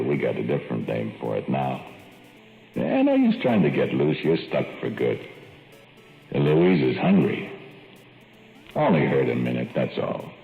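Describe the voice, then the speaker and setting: A man's voice: rumbling low, vocal fry, heavily acted. An actor playing a creepy, rambling rural man who keeps a giant fly, in 1940s radio acting. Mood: gleeful menace. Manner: folksy, rambling, giggling menace. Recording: tinny old radio broadcast with music.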